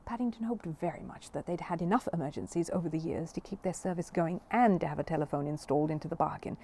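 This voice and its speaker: A woman narrates a children's story with expression. Her voice is slightly low and very mellow, and there is faint noise behind it. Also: fast talker. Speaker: storybook reader.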